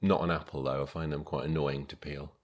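A man's voice: rumbling low, fairly even intonation, fairly quick. A man hosting a podcast in casual chat, with a dry, joking, slightly hesitant manner. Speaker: podcast host.

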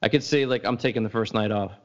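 A man's voice: fairly low pitch, fast talker, lo-fi sound, a little hiss or hum. A man co-hosting a casual chat show, talking bluntly and profanely with a teasing edge. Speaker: podcast co-host.

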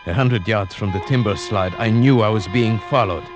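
An actor playing a grave, haunted man who narrates a night hunt in a measured, suspenseful 1950s radio drama style. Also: fairly low pitch, muffled recording, natural voice. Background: dramatic music.

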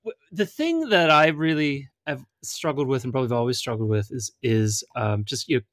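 A man's voice: medium pitch, lively intonation, fairly quick, fairly bright tone, no room sound. Man, American accent, podcast host, teasing, rambling, dry close mic. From United States. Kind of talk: casual interview chat.

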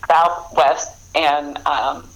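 A woman's voice: sits low, fairly even intonation, extremely slow, low-quality recording. A woman author being interviewed, talking casually with many fillers and restarts. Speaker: author guest.

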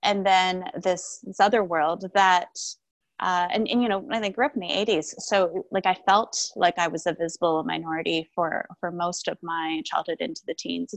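A woman in her forties from Taiwan, raised in Canada, speaking thoughtfully with many pauses and restarts as an interview guest. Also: fairly low pitch, creaky, no room sound, low-quality recording. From Taiwan, raised in Vancouver, Canada. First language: Mandarin. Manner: thoughtful, with frequent ums and restarts. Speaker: guest, shamanic practitioner. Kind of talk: casual interview talk.

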